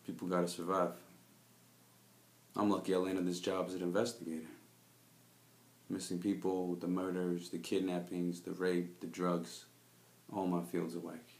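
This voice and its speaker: A man's voice: low voice, monotone, moderate pace, vocal fry, pauses often, roomy sound. A man playing a cynical, world-weary private investigator, delivering a brooding noir monologue in a confiding, jaded manner. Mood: jaded, bitter. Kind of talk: scripted noir detective monologue.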